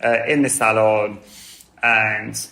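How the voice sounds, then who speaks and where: A man's voice: lowish voice, steady tone, gravelly, bright, forceful tone, roomy sound. A man from southern England, a hairstylist and educator, chatting casually in an interview with lots of fillers. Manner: chatty, filler-heavy, quick bursts. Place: holiday villa, indoors. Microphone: remote call.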